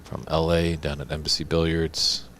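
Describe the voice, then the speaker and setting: Deep-voiced snooker commentator, chatty. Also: male voice, level tone, moderate pace, fairly bright tone, a little hiss or hum.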